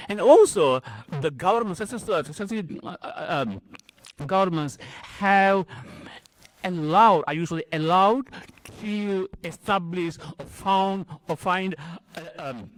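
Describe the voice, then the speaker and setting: A middle-aged man from Sri Lanka whose first language is Tamil, speaking with a Sri Lankan accent and giving an informal conference talk in a conversational, halting way with many repeated words. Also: high-pitched, fairly bright tone, no echo. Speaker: academic presenter.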